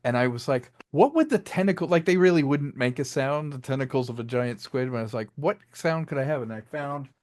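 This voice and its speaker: Eager male director explaining his craft, mid pitch, dry close mic, video call. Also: animated, a little fast, hardly any pauses, a little mellow, dry recording. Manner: enthusiastic, explains with many restarts and voiced asides. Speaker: director and sound designer. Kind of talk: casual interview chat.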